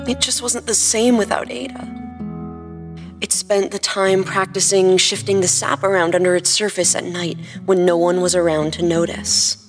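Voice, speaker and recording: A woman narrates fan fiction in a slightly low voice. She reads from a script as a podcast host. Her voice carries a long reverb, with guitar music behind it.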